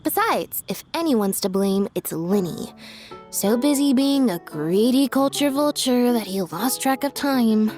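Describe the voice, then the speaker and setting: A woman's voice: a little high, expressive, unhurried, a little mellow, faint music behind. A woman playing a reticent, cool young woman in a video game, with a dry, blunt, understated delivery. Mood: deadpan.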